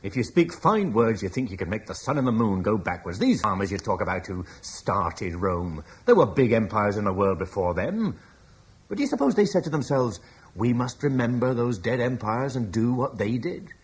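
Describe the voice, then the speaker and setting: A blunt, husky British war chief. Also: male voice, lowish voice, lively intonation, normal speed. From Cornwall. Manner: forceful, blunt, scornful. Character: blunt British war leader, scornful of Rome. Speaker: military commander. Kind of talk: classic radio drama acting.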